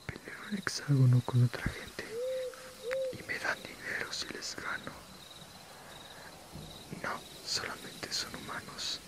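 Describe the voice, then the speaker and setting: A young werewolf played by a man speaks entirely in a breathy whisper. He is friendly and unassuming, casually confiding in an ASMR fantasy roleplay. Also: mid-range voice, animated, slow, long pauses. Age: young adult.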